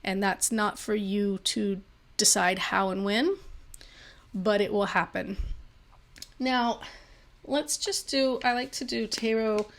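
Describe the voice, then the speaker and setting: A woman's voice: mid-range voice, fairly even intonation, takes it slowly, pauses often. A young woman giving a pick-a-card tarot reading, talking in a rambling way with many fillers and restarts, her voice a little worn. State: slightly losing her voice. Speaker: tarot reader.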